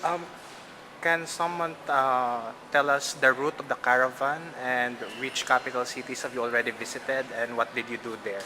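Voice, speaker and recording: A man interviewing activists, asking his questions hesitantly with many pauses and fillers. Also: medium pitch, fairly even intonation. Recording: clear background noise.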